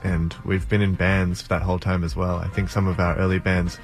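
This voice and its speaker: A man's voice: deep voice, flat delivery, brisk, faint music behind. An Australian man, a musician guest in a radio studio, talking in an easygoing way that trails off and restarts its sentences. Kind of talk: casual interview.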